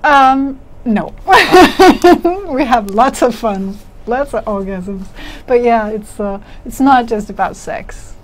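A woman's voice: fairly high pitch, expressive, slow. A young woman from Denmark, a native Danish speaker, talking openly and easily as an author guest on a studio talk show. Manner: open, laughing, easygoing. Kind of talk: studio interview chat. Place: small studio with dark curtain.

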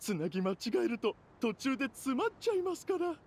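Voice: high voice, sing-song, brisk, darkish tone, a little hiss or hum. An actor voicing a fussy, polite young origami squirrel worker in a fantasy game. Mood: earnest. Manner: polite to guests, exasperated with mate. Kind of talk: animated game voice acting.

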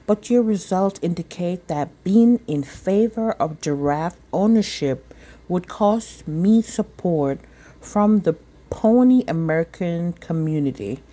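Officious storybook uncle, a politician played by a woman, measured, formal and slow. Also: on the low side, lively intonation, dark tone. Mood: regretful, officious.

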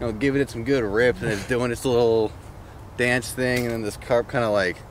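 A man's voice: mid-range voice, fairly even intonation, fairly quick. A young American man talking in a casual, rambling, slangy way on an outdoor fishing vlog. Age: young adult. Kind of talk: casual chat. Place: outdoors by a pond. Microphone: camera at arm's length. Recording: faint outdoor background noise.

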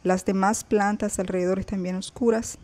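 A woman's voice: mid-range voice, fairly even intonation, normal speed, a little mellow, slight background noise. A woman hosting a casual gardening vlog, chatting straight to her viewers and pointing things out as she films her plants outdoors on a balcony. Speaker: gardening vlogger.

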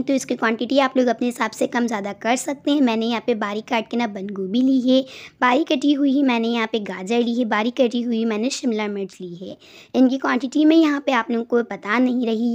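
Chatty woman narrating a home recipe. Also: fairly high pitch. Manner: chatty, instructive, addresses viewers as a group. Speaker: cooking channel host.

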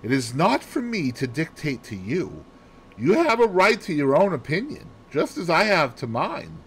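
Man as an excitable male sidekick, pompous gentleman, emphatic, very lively intonation, audiobook dialogue, some background noise. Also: mid-range voice, measured pace, low-quality recording. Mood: cold, offended. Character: excitable, self-satisfied English gentleman, detective's male sidekick.

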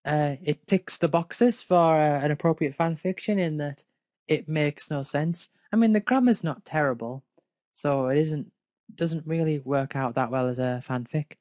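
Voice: medium pitch, a little slow, darkish tone, no echo. A person reading fan fiction aloud casually, with hesitant, dry critical asides. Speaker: fanfic reader and commentator.

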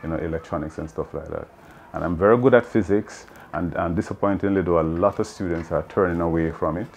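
Jamaican math teacher in his fifties, very low voice, earnest and explanatory, light background noise outdoors. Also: fast talker, creaky, darkish tone. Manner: earnest, explaining with many "you know"s. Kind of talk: interview answers. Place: open veranda outdoors.

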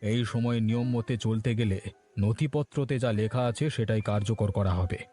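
Man playing a smooth scheming village clerk, mellow voice. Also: on the low side, fairly even intonation, moderate pace, lightly acted. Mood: measured, reassuring. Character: scheming middle-aged village ex-clerk, smooth-talking swindler. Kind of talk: Bengali cartoon voice acting.

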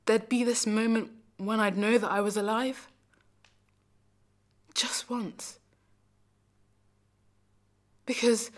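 A woman performing a dramatic monologue as a lonely, heartbroken young lover, confessional and emphatic, moving between hushed and forceful delivery. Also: mid-range voice, natural voice. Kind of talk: dramatic stage monologue, self-taped acting showreel.

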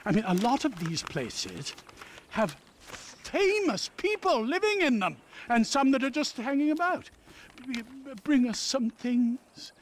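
A man's voice: extremely high pitch, big pitch swings, a little slow, slight background noise, heavily acted. An actor playing a fawning, eccentric British man, an obsessive celebrity fan who gushes and stammers, in a comic game cutscene. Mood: eager. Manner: gushing, stammering, awkward. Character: fawning, eccentric middle-aged British male celebrity stalker.

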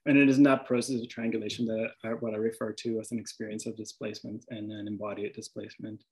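A man's voice: on the low side, flat delivery, fast, a little mellow, rough recording. A man from Venezuela with a Venezuelan accent, an artist presenting his work over slides, hesitant, with many restarts and fillers.

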